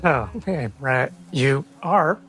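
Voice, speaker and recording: A man's voice: medium pitch, lively intonation, slow pace, a bit bright, slightly rough, soft background music, exaggerated voice. A man playing a wary, politely awkward adventurer in a tabletop game, hesitant and stammering. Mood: awkwardly polite. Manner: hesitant, stammering, repeats words.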